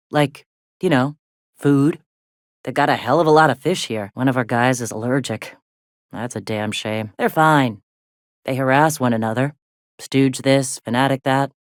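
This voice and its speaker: A woman voicing a gruff, plainspoken middle-aged frontier medic in a video game, with a blunt, folksy way of talking. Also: very deep voice, dry recording, studio quality. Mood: wry, defensive. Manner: folksy, blunt, drawling slang with swearing. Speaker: video game character, medic and deputy leader.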